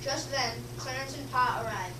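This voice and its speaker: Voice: high voice, normal speed. A young student, a girl, reading scripted narration aloud in a readers theater. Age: child or young teenager. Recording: echoey, noisy room, distant mic.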